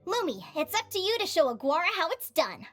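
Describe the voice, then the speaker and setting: A woman voicing a cheerful, encouraging young female adventurer in a video game, with a perky, expressive delivery. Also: very high voice, brisk, soft background music. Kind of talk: animated video game voice acting.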